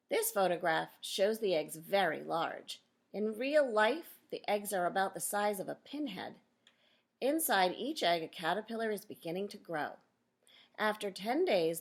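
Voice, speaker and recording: Female teacher for children's nonfiction read-aloud; lower-pitched than some but ordinary range, noticeable vocal fry, instructive asides to pupils. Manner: instructive, addresses children directly with questions and explanations.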